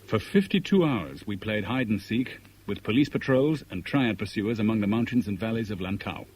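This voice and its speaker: An actor playing a tense, clipped, commanding escape organiser in a 1970s radio thriller. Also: male voice, on the low side, animated, darkish tone, lightly acted. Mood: terse narrating. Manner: brisk, insistent questioning. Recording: noisy old radio drama recording.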